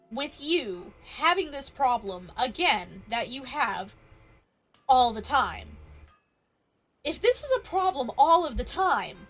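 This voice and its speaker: A woman giving casual live-stream game commentary in a wry, sardonic, teasing manner. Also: high voice.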